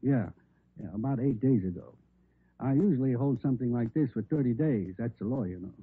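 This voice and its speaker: An actor playing a chatty, obliging bar owner in an old radio crime drama, with a folksy way of talking. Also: male voice, mid-range voice, fairly quick, breathy edge. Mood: chatty, helpful. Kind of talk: 1950s radio drama acting. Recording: muffled, narrow old radio recording.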